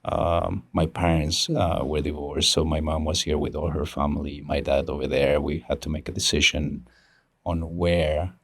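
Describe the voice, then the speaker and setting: A man tells his story as a podcast guest. His voice sits very low with a gravelly fry, and he talks earnestly, restarting phrases and chuckling. The sound is dry and close. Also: flat delivery, normal speed, dry recording. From Ecuador. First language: Spanish. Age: 50s. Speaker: guest, engineering executive. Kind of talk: casual interview talk.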